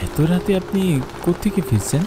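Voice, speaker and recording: A man playing an earnest young peddler who narrates a ghostly cartoon horror story in an expressive, dramatic way. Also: high voice, moderate pace, background noise. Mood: shy, curious. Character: earnest young male cloth peddler narrating his ghostly ferry journey. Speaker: first-person narrator. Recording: music behind the voice.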